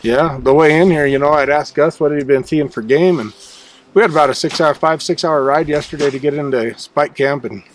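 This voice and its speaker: A man's voice: fairly high pitch, fairly quick, slight background noise. A man presenting a hunting video outdoors, talking to the camera in a casual, conversational way. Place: outdoors on a snowy mountain.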